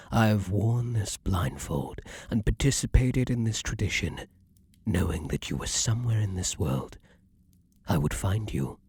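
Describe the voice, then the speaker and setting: Man acting a deep, breathy vampire king. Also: dry recording, full character voice. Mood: tender longing. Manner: formal archaic speech, hushed and breathy, dramatic pauses. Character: ardent, imperious male vampire king, lovesick then menacing. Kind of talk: intimate ASMR roleplay, theatrical romantic fantasy acting.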